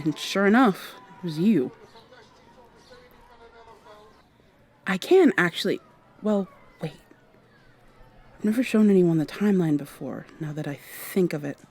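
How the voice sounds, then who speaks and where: A woman playing a brisk, awkward time traveler in an ASMR roleplay, talking to the listener in a rambling, wry way and thinking out loud. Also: medium pitch, natural voice. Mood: musing, guarded. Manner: rambling, hesitant, thinking out loud mid-sentence. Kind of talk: ASMR roleplay, acted one-sided dialogue to the listener.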